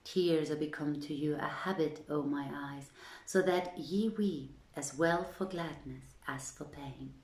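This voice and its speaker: Slow, low-voiced ardent princess, read by a woman. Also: darkish tone. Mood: tearful joy. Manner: formal, poetic, drawn-out phrasing. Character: ardent young princess in love, reciting verse. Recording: roomy echo with music underneath.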